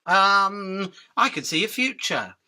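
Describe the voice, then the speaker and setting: A cheeky male fly from a radio drama, acted by a man, with a highish, very bright, forceful voice. He wheedles and rambles, mixing grovelling politeness with impudent bargaining. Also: sing-song, slow, exaggerated voice. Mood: pleading, bargaining. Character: cheeky, pleading, fast-talking male fly caught by a spider. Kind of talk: illustrated radio drama acting.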